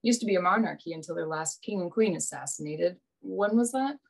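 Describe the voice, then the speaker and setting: A woman's voice: on the low side, animated, brisk, creaky. A woman playing a brash, wry young male adventurer in a radio play, with a dry, teasing manner. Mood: knowing.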